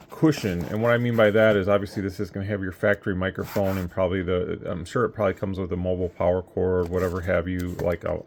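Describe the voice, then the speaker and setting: A man with a fairly low voice hosts a casual unboxing review. He rambles along, thinking aloud as he goes. It is recorded on a phone, with noticeable noise behind him. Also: creaky. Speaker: product reviewer and host. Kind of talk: casual unboxing review, talking through thoughts. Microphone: phone, handheld.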